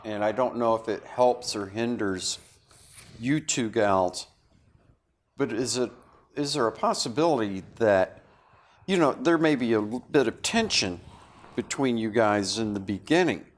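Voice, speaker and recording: Tentative man with average pitch. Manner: tentative, deliberate suggestions. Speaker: actor. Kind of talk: casual group discussion.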